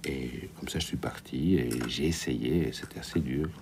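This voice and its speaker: A man's voice: a bass voice, moderate pace, a little mellow. A man from Ticino, a cinematographer telling his career story in a radio interview, candid and sometimes trailing off. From Ticino, Switzerland. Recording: radio studio with faint background noise.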